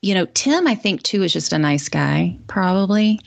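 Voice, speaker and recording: A woman with a fairly low voice co-hosting a casual podcast, mostly agreeing and backing up her partner with brief replies. The recording is dry and close. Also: expressive, normal speed, creaky, no echo. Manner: agreeable, lots of short affirmations. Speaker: podcast co-host.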